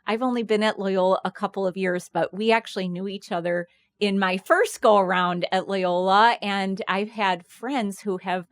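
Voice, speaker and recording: A woman's voice: medium pitch, steady tone, normal speed, no echo. A woman hosting an interview podcast, upbeat, gracious and welcoming. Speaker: podcast host. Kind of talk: interview conversation.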